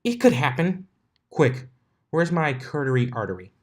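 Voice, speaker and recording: A man's voice: a little high, big pitch swings, normal speed, pitched above the performer's own voice. A man voicing a bookish, bossy young female unicorn with a fretful, dryly exasperated manner in a cartoon-pony story. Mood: panicky, indignant.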